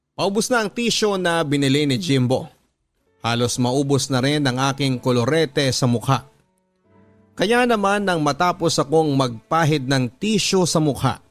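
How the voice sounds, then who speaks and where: Filipino man narrating dramatically over music. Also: mid-range voice. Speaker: radio drama host reading a listener's letter. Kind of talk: dramatic scripted narration of a confessional love story. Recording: music bed under the voice. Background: background music.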